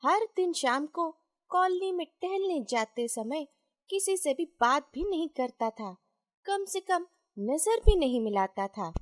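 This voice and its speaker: Slow, high-voiced woman narrating a story. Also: expressive. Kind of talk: scripted narration of a moral story.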